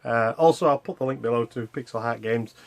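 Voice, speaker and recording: A man's voice: mid-range voice, fairly quick, gravelly. A man hosting a retro gaming vlog in a casual, unscripted way, rambling and hesitant as he interrupts himself. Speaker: host of a retro gaming video channel.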